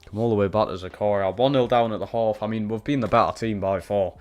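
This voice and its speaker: Geordie lad streaming footy career mode, real low voice, swears a lot, bit of music in the back. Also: male voice, fairly even intonation, quick pace, darkish tone, lo-fi sound. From Newcastle, England. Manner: sweary, frustrated reactions to play. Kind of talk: live gaming commentary.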